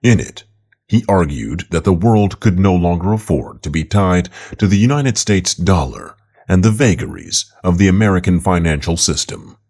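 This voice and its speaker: A man's voice: deep voice, expressive, normal speed, darkish tone. A man reading an opinion article aloud as scripted narration.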